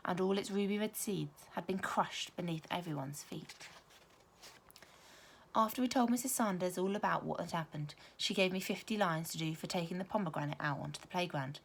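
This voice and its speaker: A woman teacher reading a children's story aloud, with expressive, emphatic storytelling. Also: lowish voice.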